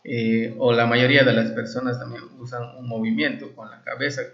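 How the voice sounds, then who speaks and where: A man gives an informal language lesson in a mid-range voice. He explains examples and translations step by step, often adding short check-in questions. The recording is dull and lo-fi, with clear room echo. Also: medium pitch, level tone, a little fast. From Valladolid, Yucatán, Mexico. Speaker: Maya language teacher.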